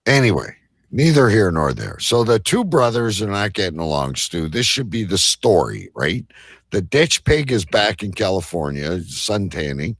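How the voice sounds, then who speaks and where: A man's voice: low voice, big pitch swings, moderate pace, no room sound, studio quality. A man talking as a talk show host in crude, irreverent banter, brash, mocking and argumentative.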